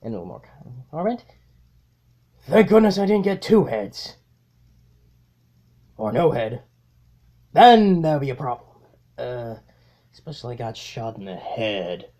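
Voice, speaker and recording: A man voicing a vengeful, grandiose robot tyrant in an amateur comic dub, theatrical and halting, with sardonic muttered asides. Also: medium pitch, very animated, warm tone, voice pushed down. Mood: dry, sardonic.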